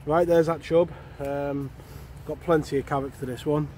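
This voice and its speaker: Man, 30s, even monotone riverside fishing commentary, light outdoor noise. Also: on the high side, normal speed. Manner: plain, steady running commentary. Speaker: angling vlogger.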